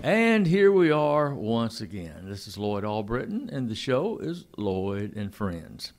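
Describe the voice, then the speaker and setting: A man hosting a local radio interview show, talking casually and haltingly with frequent pauses. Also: on the low side, very animated, a little mellow, faint music behind. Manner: rambling, halting with fillers.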